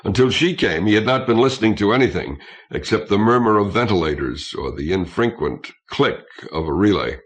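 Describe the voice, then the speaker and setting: A man reading science fiction as a scripted audiobook narration, in a measured, literary way. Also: on the low side, lively intonation.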